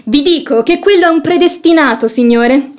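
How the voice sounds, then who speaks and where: Cheeky high-voiced maidservant played by a woman. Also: moderate pace, natural voice. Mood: pert, insistent. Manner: pert, sarcastic, insistent. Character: cheeky, outspoken, sharp-tongued maidservant. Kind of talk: theatrical radio comedy acting.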